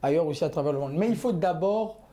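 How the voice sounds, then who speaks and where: A young man with a somewhat high but mellow voice debating as a panel guest. He argues earnestly, stumbling through fillers and restarts. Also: lively intonation, fast. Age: young adult. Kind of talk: panel discussion.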